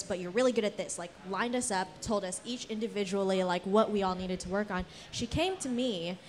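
A young woman who grew up in the Philippines, Singapore and Guam, telling personal stories in an earnest, rambling way as a panelist with a handheld microphone. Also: mid-range voice. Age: young adult. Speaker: panelist, theater actor. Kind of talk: casual personal storytelling on a panel. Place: indoor event space with brick wall. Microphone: handheld event microphone.

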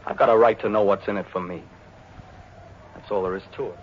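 A man's voice: fairly low pitch, fairly even intonation, fairly quick, close to an everyday voice. An actor playing a guarded, serious working-class teenage boy, terse and defiant, in a 1940s radio drama. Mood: bitter, defiant. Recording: muffled, noisy 1940s radio recording.

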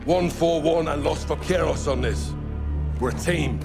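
An actor playing a gruff, resolute special forces captain briefing troops in a game cutscene. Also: male voice, fairly high pitch, a little slow, over music. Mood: resolute, rallying. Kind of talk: video game cutscene acting.

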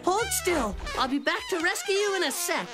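A woman's voice: very high voice, sing-song, normal speed. A woman voicing a chubby, scheming little cartoon boy who talks in a grandiose, hammy way. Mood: scheming, heroic. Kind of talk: cartoon voice acting. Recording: loud cartoon music underneath.

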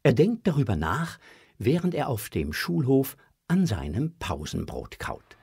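A man's voice: medium pitch, huge pitch swings, unhurried, a little mellow, husky, no room sound, full character voice. A man narrating a scripted audio drama for children.

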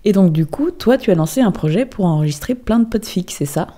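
A woman's voice: medium pitch, animated, brisk, mellow. A woman hosting a casual, conversational presentation, asking questions and explaining. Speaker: host of a fanfiction channel.

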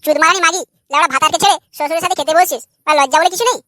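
A man's voice: very high voice, racing, pushed and bright, no echo. A man voicing a jealous, sneering husband in a comic cartoon, foul-mouthed and sarcastic. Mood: outraged. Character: jealous, crude, sneering adult son and husband.

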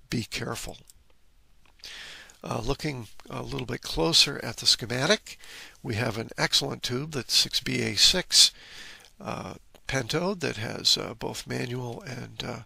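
Gravelly man explaining electronics. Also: mid-range voice, bright, forceful tone, dry recording. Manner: instructive, explaining step by step. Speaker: presenter of an electronics repair video. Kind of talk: informal technical walkthrough narration.

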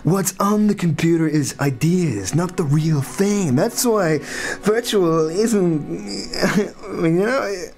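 An actor playing a goofy, easygoing housemate who rambles vaguely and giggles in a comic radio drama. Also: male voice, sits high, slow pace, creaky, a little mellow, soft background music, full character voice. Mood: dopey musing.

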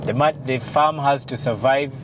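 A man in his forties with a Ugandan English accent, a law firm partner explaining things in a measured way with many fillers, interviewed in a moving car. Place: passenger seat of a car. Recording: loud car road noise. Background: engine and road rumble.

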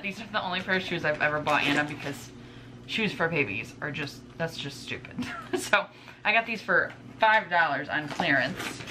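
A woman in her twenties chatting casually to camera at home as a vlogger, rambling informally and drifting into asides. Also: deep voice. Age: 20s. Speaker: vlogger, stay-at-home mother. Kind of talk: casual chat to camera, showing groceries. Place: indoors in a home kitchen. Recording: clearly noisy home recording with room ambience.

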